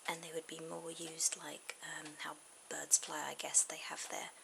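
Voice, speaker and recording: A woman gives a drawing tutorial in a soft, breathy ASMR whisper. Her voice sits on the low side with a creaky edge, and she pauses informally mid-thought. The amateur camera audio is very noisy. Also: monotone.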